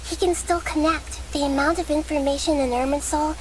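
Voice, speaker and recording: A woman playing a gentle, thoughtful young goddess of wisdom in a video game, calm and measured in formal phrasing. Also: high-pitched, crowd noise, lightly acted. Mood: earnest, explaining. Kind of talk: video game character acting. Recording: game audio with background noise.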